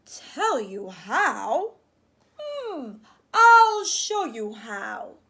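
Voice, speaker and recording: Woman playing a slow, secretive storybook dad. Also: sits high, sing-song, sharp tone, exaggerated voice. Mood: teasing, mysterious. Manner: drawn-out, emphatic, mysterious. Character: secretive, dramatic storybook dad with an enemy remedy.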